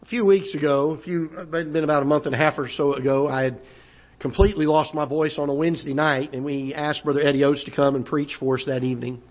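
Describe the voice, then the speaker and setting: A pastor with a hoarse, recovering voice preaching a sermon in a church auditorium, halting and repeating words, then rising as he preaches. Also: on the high side, fairly even intonation. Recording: dull lo-fi church broadcast.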